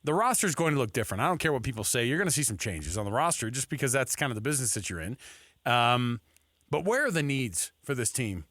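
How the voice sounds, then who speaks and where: Male sports host, mid-pitched, casual banter, tight dry studio sound. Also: sing-song, fast talker, fairly bright tone, no room sound. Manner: informal, conversational. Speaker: sports talk radio host.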